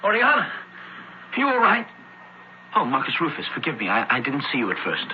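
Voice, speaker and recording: Devout, concerned young Roman, formal earnest speech, muffled radio play with faint noise. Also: male voice, medium pitch, huge pitch swings, fairly quick. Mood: relieved concern. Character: devout, worried young Roman brother studying priesthood. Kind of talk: radio drama acting.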